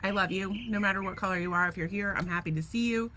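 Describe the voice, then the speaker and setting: Woman podcast co-host, bright and animated, rambling comic stories with faint room noise behind. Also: medium pitch, fast talker. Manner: animated storyteller, laughs often, swears freely. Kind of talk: casual chat.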